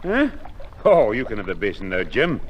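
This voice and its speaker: An actor playing a doddery old grandad in a 1950s radio sitcom, on a noisy old recording. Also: male voice, fairly low pitch, wildly swooping pitch, normal speed, lo-fi sound. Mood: doddery, obliging. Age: older.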